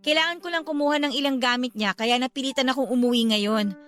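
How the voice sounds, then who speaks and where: A woman's voice: high voice, quick pace, faint music behind. A woman playing a weary, patient wife and mother in a Filipino radio drama. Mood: suspicious, tired. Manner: polite, expressive.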